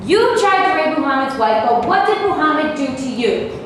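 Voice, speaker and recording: A sarcastic, exasperated woman, played by an actress at a staged reading, pitched high. She needles and mocks with sardonic relish. Her voice comes from a distance in a very echoey room. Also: very animated, moderate pace. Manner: sardonic, mocking. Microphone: distant microphone. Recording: echoey hall from far off, noisy.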